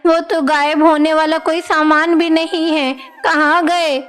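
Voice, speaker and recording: Woman voicing a high-pitched scolding village granny. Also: flat delivery, unhurried, fairly bright tone, faint music behind. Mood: surprised. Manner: admonishing, questioning. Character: Kantamma, scolding concerned village grandmother.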